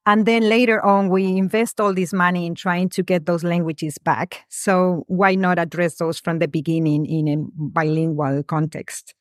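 A woman from Spain, a researcher answering interview questions in a measured, thoughtful way, pausing often. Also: lowish voice, flat delivery, no room sound, clean studio sound. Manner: measured, thoughtful, frequent "um" pauses. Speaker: guest, education researcher.

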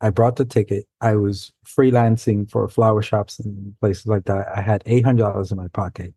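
A man from New York City whose first language is Spanish, telling stories as a guest in a relaxed, rambling way with frequent self-corrections. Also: on the low side, normal speed, slightly rough, no room sound. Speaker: guest, science fiction author. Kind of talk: casual storytelling in an interview.

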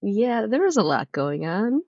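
A woman's voice: mid-range voice, sing-song, a little slow, a little mellow, slightly rough, no room sound. A young woman in her twenties co-hosting a casual chat podcast, a bit nasal from a cold, giggling and rambling with lots of "like"s. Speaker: podcast co-host. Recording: slightly muffled lo-fi audio.

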